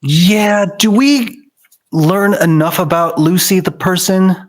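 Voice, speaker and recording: A man's voice: high-pitched, slow. A man co-hosting a movie podcast, rambling with sardonic asides. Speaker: podcast co-host. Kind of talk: casual movie chat.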